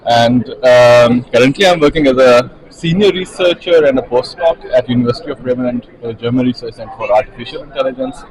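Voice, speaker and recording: A man's voice: mid-range voice, fast talker, hardly any pauses, a little mellow, rough recording. A man from Pakistan in his thirties, a researcher giving a casual interview at a conference. He speaks thoughtfully and uses a lot of fillers. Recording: crowd noise behind.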